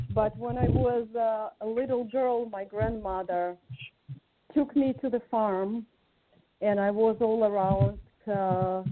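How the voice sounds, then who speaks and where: A woman from Ukraine speaking as a guest on a group call, sharing personal reflections in an earnest, hesitant way with pauses between short phrases. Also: medium pitch. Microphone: phone call. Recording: tinny, band-limited phone line.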